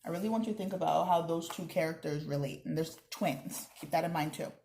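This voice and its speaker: Woman, slightly low voice, teacher, explanatory, addressing children, read-aloud lesson. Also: brisk, vocal fry, warm tone, slight background noise. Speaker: teacher reading aloud to students.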